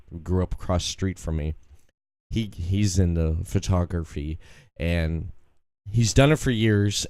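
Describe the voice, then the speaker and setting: A man in his late thirties with a deep, low voice, hosting in a relaxed, chatty way. He rambles and circles back on his own phrases, and the sound is close and dry. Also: smooth, dry recording. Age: 30s. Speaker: podcast host. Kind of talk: casual chat.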